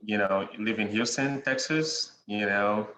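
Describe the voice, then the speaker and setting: A young man with a Ghanaian accent, a podcast guest chatting in a relaxed way, with many "you know" fillers and frequent chuckles. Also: lowish voice, measured pace. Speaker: guest, real estate investor. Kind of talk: casual interview conversation. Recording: dull lo-fi sound in an echoey room with faint noise.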